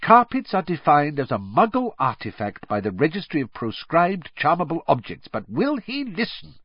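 Weary middle-aged civil servant, read by a man: mild, exasperated, lifted lively pitch, storybook character. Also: moderate pace, breathy edge, no echo, muffled recording, full character voice.

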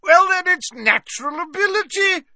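A man's voice: high voice, wildly swooping pitch, a little slow, pushed and bright, no echo, clean studio sound, pitched above the performer's own voice, heavily acted. A man voicing a tipsy, jovial old male professor who gushes with fond praise. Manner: effusive, gushing.

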